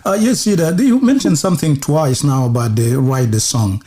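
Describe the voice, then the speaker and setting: A man hosting a radio interview in a hesitant, halting way, mixing English with another language. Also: fairly high pitch, dark tone.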